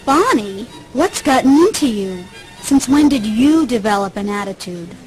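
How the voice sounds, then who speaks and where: An actress playing an earnest, polite, conciliatory young heroine in a video game. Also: female voice, a little high, sing-song, moderate pace, a little mellow, rough recording. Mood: mildly reproving. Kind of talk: video game dialogue acting. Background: music.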